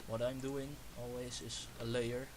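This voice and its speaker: Young Dutch-accented man, tutorial voice, halting and slow, hissy home recording. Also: mid-range voice, flat delivery. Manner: halting, self-correcting, explains step by step. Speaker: music producer tutor. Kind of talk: screen-recorded production tutorial.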